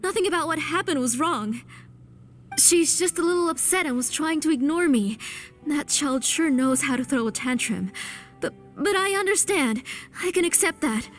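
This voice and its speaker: An actress playing a grief-stricken mother in denial, pleading and halting, in a dramatic video game. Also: sits high, animated, faint music behind. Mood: defensive denial. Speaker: voice actor.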